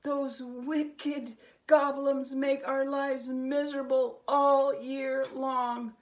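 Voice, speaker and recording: A woman's voice: high voice, level tone, drawn-out words, pitched above the performer's own voice. A woman voicing a sad, fearful villager in a children's storybook, plaintive and emphatic in manner. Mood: miserable, aggrieved. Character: sad, fearful villager, weary of tormenting goblins. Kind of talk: acted children's storybook reading.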